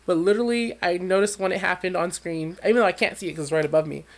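A man who sings professionally, talking as an interview guest with a high voice and an animated, storytelling manner. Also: fast. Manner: animated storyteller, acts out quotes with big leaps in pitch. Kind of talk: conversational interview.

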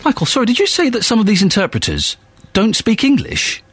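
A male radio presenter who reads news links briskly and questions guests informally. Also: sits high, huge pitch swings, a bit bright, breathy edge, dry recording.

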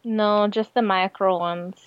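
A woman's voice: mid-range voice, steady tone, takes it slowly, clean tone. A woman co-hosting a podcast over an internet call, bantering casually with dry, playful humor. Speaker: podcast co-host. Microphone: microphone over internet call. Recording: dull, noisy internet call with clicks.

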